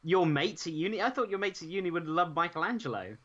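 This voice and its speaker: Man, playful teasing chat, lively pitch, muffled lo-fi recording. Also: quick pace. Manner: teasing, playful, breaks into bits of song. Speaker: co-host and brother. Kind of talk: casual chat on a live stream, reading chat comments.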